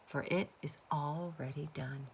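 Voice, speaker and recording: A woman voicing a kindly enchanted female wren in a storybook, gentle with a touch of sternness. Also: low voice, fairly even intonation, a little slow, a little hiss or hum, voice pushed down. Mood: patient, stern.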